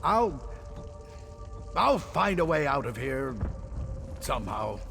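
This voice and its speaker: An actor playing an unflappable, dryly wry adventuring alchemist in a video game, speaking in measured, formal phrases. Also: male voice, medium pitch, big pitch swings, creaky, a bit bright, faint music behind, heavily acted. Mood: strained, urgent. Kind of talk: video game character acting.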